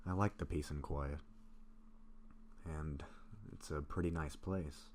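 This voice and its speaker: A man acting a gentle, bashful, sick vampire in an intimate roleplay audio, speaking softly and haltingly to a close friend. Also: very deep voice, fairly even intonation, a little hiss or hum. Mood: weary, evasive. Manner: soft-spoken, hesitant, halting with pauses.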